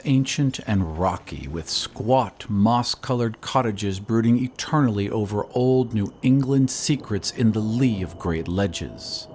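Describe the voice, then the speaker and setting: A man playing a somber surveyor who recounts an eerie old tale in measured, ominous audio drama narration with hushed phrase endings. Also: fairly low pitch, slight background noise, lightly acted.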